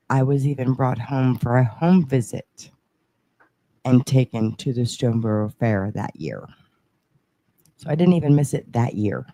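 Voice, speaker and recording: A woman's voice: a bass voice, mellow, dry recording, low-quality recording. A woman in her forties co-hosting a podcast, chatting casually and earnestly about family traditions. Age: 40s. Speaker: podcast co-host. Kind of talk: casual chat.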